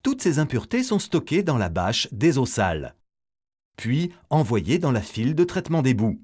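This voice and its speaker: A man's voice: mid-range voice, huge pitch swings, normal speed, a little mellow, slightly rough. A man reading a scripted educational narration as the narrator of an explainer film, setting things out in a didactic, step-by-step way.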